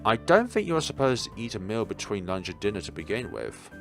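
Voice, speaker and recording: A man voicing a blunt, curious teenage schoolgirl who talks in deadpan, rambling musings in a visual novel. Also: fairly low pitch, fairly quick, gravelly, faint music behind.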